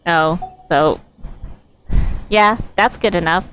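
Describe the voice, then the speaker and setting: Slow, halting woman streaming a game. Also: fairly low pitch, fairly bright tone, a little hiss or hum. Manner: halting, thinking aloud. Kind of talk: casual live gameplay commentary.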